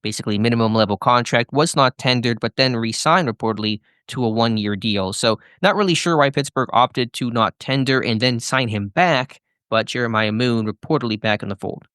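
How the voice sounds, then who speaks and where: A man's voice: medium pitch, brisk, hardly any pauses, fairly bright tone, no echo, clean studio sound. An American man co-hosting a sports podcast, giving fluent, informative analysis in a conversational style.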